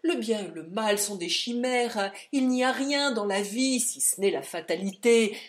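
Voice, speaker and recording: A woman reading aloud and voicing an old male doctor who lectures in a forceful, emphatic, scornful way. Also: medium pitch, lively intonation, a little slow, lightly acted. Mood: commanding.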